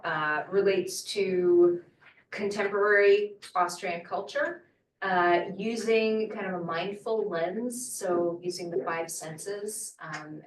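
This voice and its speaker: A woman's voice: fairly low pitch, slight background noise. A woman from Portugal, a French professor, introducing herself at an info session in a chatty, hesitant way with fillers. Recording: echoey room, distant mic.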